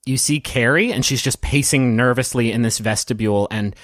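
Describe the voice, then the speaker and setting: A man narrating a tabletop roleplaying story as game master, in a gentle, halting, descriptive way. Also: mid-range voice, no room sound, studio quality.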